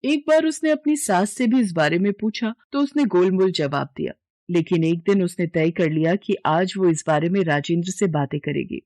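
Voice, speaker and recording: A woman's voice: fairly low pitch, normal speed, a little mellow, no echo, clean studio sound. A woman reading a scripted dramatic story as its narrator.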